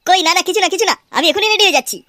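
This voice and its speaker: A woman's voice: very high voice, expressive, racing, pushed and bright, full character voice. A woman voicing a cheeky, playful, scheming young son in a comedy cartoon. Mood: eager. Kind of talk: comic cartoon dialogue.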